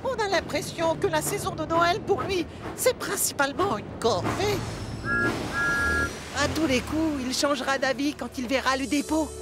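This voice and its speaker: A woman's voice: sits high, normal speed, close to an everyday voice. A woman voicing a cheerful, considerate little steam locomotive in a children's cartoon. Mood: friendly concern. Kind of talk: children's cartoon dub acting. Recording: music behind the voice.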